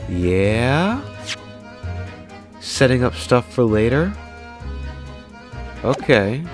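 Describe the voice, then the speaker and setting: A man's voice: mid-range voice, sing-song, very slow. A congested man with a cold, giving rambling, self-correcting let's play commentary. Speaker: gaming video host. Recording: game music behind.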